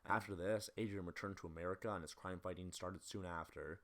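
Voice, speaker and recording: A man with a fairly low voice narrates a scripted video essay. He moves quickly through his analysis, speaking at a brisk clip. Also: fairly even intonation, fairly bright tone.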